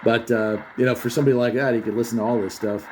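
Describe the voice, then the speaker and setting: A man's voice: medium pitch, steady tone, very fast, a little mellow, faint music behind. A man chatting off the cuff in an enthusiastic way, thinking aloud as he tunes a radio in a room. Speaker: host of a radio hobby channel. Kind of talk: casual off-the-cuff commentary while tuning a radio. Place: room with fridge and air conditioner running. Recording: noisy, shortwave radio static behind. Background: radio static, appliance hum.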